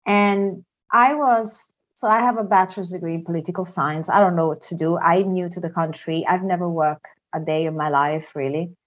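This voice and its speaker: A woman's voice: lowish voice, fairly even intonation, moderate pace, hardly any pauses. A woman from Italy with an Italian accent, talking conversationally as an interview guest and telling stories. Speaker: guest, international recruitment director. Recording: slightly dull, lo-fi sound.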